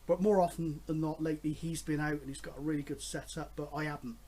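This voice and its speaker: A man's voice: fairly high pitch, fairly even intonation, fast, dark tone, a little hiss or hum. A man in his fifties talking casually and enthusiastically as a hobby vlogger, rambling along with frequent hesitations. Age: 50s. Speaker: host of a radio hobby vlog. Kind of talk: casual, rambling chat to camera.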